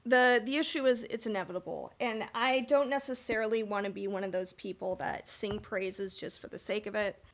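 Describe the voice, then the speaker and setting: A woman's voice: mid-range voice, a little fast, gravelly, hardly any pauses. A woman co-hosting a podcast in casual chat, candid and slangy in the way she talks. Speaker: podcast co-host.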